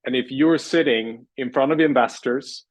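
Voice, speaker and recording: A man's voice: medium pitch, normal speed, no echo. Man, dry close sound. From Sweden. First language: Swedish. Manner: earnest, thoughtful, many restarts. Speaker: guest, language and pitch coach. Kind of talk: casual interview answers.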